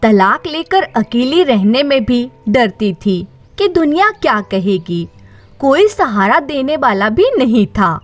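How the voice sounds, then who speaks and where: Slow, high-pitched woman storyteller, very animated. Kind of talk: dramatized moral story narration. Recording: faint music behind the voice. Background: faint music.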